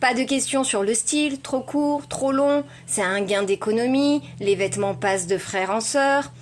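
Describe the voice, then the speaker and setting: Female podcast host over clear background noise. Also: on the high side, normal speed. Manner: addresses the listener informally and directly. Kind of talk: scripted educational podcast narration with playful asides.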